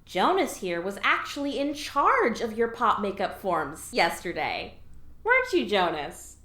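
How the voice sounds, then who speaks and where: A sardonic, animated alien office clerk. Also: female voice, medium pitch, measured pace, reverberant, full character voice. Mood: falsely breezy. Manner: sarcastic, falsely breezy with superiors. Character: Bethany, sardonic female alien office clerk, bossy and slick. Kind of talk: scripted audio comedy acting.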